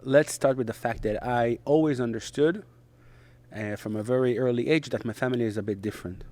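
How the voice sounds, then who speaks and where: A middle-aged man from Israel, a native Hebrew speaker, talking in English as an interview guest in short, halting phrases with frequent restarts. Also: medium pitch, darkish tone, dry recording. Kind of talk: conversational interview.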